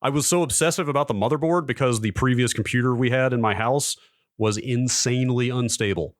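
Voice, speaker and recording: A man's voice: mid-range voice, fairly quick, no room sound, studio quality. A man co-hosting a podcast, chatting casually and telling anecdotes in a geeky, emphatic way. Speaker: podcast co-host. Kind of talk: casual chat, recounting anecdotes.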